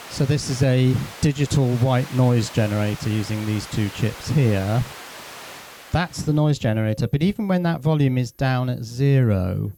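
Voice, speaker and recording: A man's voice: mid-range voice, dark tone, rough recording. A man presenting an informal hands-on electronics demonstration, thinking aloud and correcting himself as he explains.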